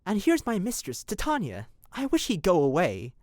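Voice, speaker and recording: A woman's voice: on the low side, wildly swooping pitch, normal speed, darkish tone, no echo, studio quality. A woman playing a cheeky, inquisitive fairy servant in an audio drama, with a teasing, theatrical delivery. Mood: wary. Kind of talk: modern-English audio drama acting.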